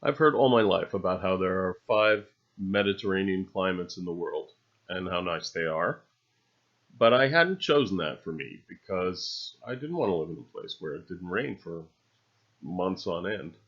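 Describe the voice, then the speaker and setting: A man narrating an unscripted, rambling voice-over in a halting, musing way, with dry, understated humor. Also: low voice, lively intonation. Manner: halting, musing delivery with long trailing phrases. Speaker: video narrator. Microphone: separately recorded voice-over. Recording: dull, lo-fi voice recording.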